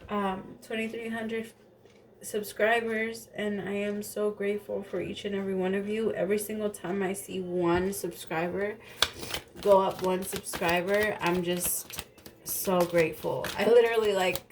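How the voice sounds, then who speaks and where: A woman's voice: medium pitch, little pitch movement, unhurried, slight background noise. A woman reading tarot cards in a casual, rambling way, a little stuffy and nasal from allergies, swearing now and then. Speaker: tarot reader.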